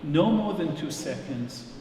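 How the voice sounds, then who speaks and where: A man with an Israeli accent giving an unscripted public talk as an exhibition guide, phrasing his thoughts deliberately and rewording as he goes. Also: fairly high pitch, animated, mellow, slight background noise. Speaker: research agency director giving a narrated exhibition tour. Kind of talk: public talk, spoken off the cuff. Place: large echoing gallery space. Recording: echoey reverberant hall with audience sounds.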